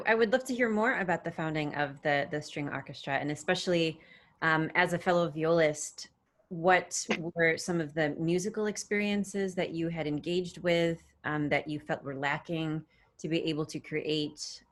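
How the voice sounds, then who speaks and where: A woman's voice: deep voice, normal speed. A woman, herself a violist, hosting a video call interview and encouragingly asking her guest to share more, with some fillers.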